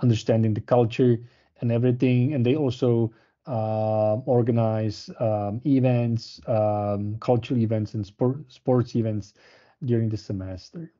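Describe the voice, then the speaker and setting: A man's voice: mid-range voice, fairly even intonation, a little slow, mellow. A man presenting a university programme in a webinar, speaking hesitantly with many fillers and restarts. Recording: muffled lo-fi webinar audio.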